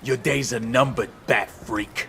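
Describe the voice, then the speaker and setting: A man's voice: medium pitch, steady tone, a little slow, gravelly, bright, forceful tone, slight background noise. An actor playing a foul-mouthed, vengeful villain's henchman in a video game, threatening and snarling. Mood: angry threats.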